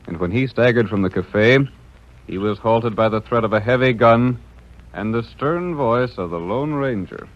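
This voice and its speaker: A man's voice: medium pitch, normal speed, lightly acted. A man acting as the grand, dramatic narrator of a Western adventure serial in 1930s announcer style. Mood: storytelling. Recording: dull old radio, music behind.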